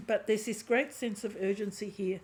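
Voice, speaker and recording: Hoarse woman giving a tarot reading. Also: mid-range voice, fairly even intonation, moderate pace, a little hiss or hum. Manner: warm, chatty, keeps clearing her throat. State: hoarse, losing her voice. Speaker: tarot reader. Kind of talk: intuitive tarot reading to camera.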